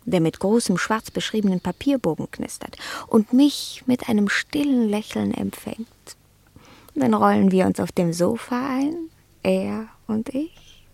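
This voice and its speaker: An actress playing an indolent, fastidious pet cat who philosophizes in a languid, haughty radio-play manner, savoring the words. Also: female voice, a little high, gravelly, a little mellow, no echo. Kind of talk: German radio play acting.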